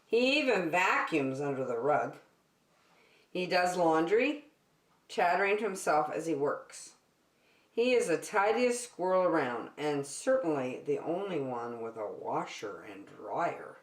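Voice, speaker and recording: A woman reading a picture book aloud to young children as a circle time teacher, expressive and speaking directly to her listeners. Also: low voice, a little slow, roomy sound. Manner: expressive, addresses young listeners with questions and prompts.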